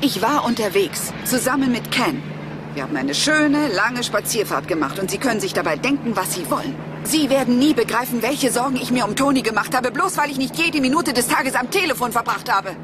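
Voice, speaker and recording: Radio-drama wife, female actor: haughty, indignant, sharp-tongued, animated delivery, music underneath. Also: a little high, a little fast, lightly acted. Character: proud, defiant wealthy wife under suspicion. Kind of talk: German crime radio drama acting. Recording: music and outdoor ambience behind.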